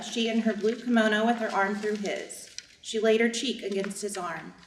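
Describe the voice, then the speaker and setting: A woman's voice: medium pitch, slight background noise, lightly acted. A woman reading the part of a devoted family servant who narrates a story in a scripted staged reading. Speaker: reader's theater narrator. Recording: echoey room.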